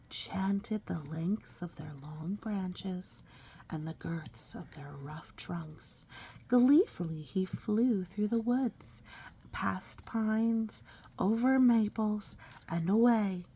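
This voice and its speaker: A woman reading a picture book aloud to a young child, expressive and coaxing, often dropping into a breathy whisper and adding chatty asides. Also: mid-range voice, takes it slowly. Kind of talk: storybook read-aloud with conversational asides to a child.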